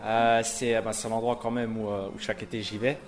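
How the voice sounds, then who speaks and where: A man's voice: on the low side, level tone, fairly quick. A young man, a student, giving brief and hesitant answers in a street interview. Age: young adult.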